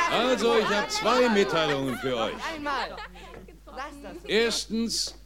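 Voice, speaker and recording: Ein Mann spielt in einem deutschen Hörspiel einen resoluten Lehrer vor seiner Klasse. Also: male voice, a little high. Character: brisk male schoolteacher addressing his rowdy class. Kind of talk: German audio drama acting. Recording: loud background noise.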